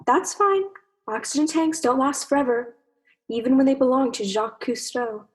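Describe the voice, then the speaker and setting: A woman's voice: high-pitched, moderate pace, natural voice. A young woman drama student acting an indignant, menacing female fish in a radio play, with an insistent way of talking. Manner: insistent, threatening.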